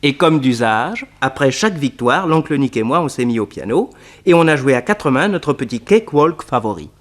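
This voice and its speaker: An actor playing a detective's loyal, eager young nephew who tells the story in a radio serial. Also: male voice, mid-range voice, sing-song, normal speed, in a large hall, natural voice. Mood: satisfied.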